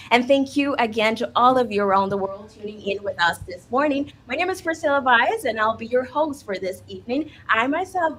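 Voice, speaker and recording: Cheery lady host, bit high voice, keeps the livestream panel rolling. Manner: upbeat, encouraging presenter, with some restarts. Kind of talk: hosting a live student panel.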